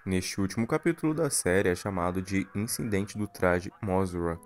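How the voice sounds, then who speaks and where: A man's voice: sits low, moderate pace, faint music behind. A man with a Brazilian accent reading a scripted narration that recaps a horror series. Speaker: video narrator.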